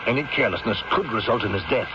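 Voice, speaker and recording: An actor playing a stern, concerned doctor in a 1970s radio drama, speaking with authority and urgency. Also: male voice, medium pitch, fast talker, natural voice. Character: stern, concerned male family doctor, commanding with careless nurses. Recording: dull, noisy old radio broadcast recording.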